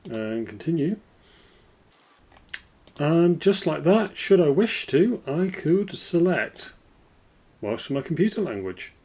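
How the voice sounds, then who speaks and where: A man hosting a screen-recorded software walkthrough, explaining in a relaxed way. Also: on the high side, slow, long pauses. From United Kingdom. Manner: relaxed explanatory, lively intonation. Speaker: tech video host.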